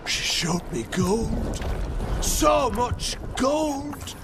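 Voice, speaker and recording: An actor playing a desperate, guilt-ridden village mayor in a fantasy game, pleading and halting in anguish. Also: male voice, very high voice, animated, exaggerated voice. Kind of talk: dramatic video game acting. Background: loud dramatic music.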